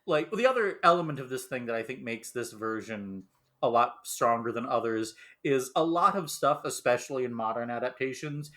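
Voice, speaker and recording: A man with a mid-pitched voice discusses things analytically but casually as a podcast panelist. He is somewhat halting, repeating words while he builds each point. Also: lively intonation, fairly bright tone.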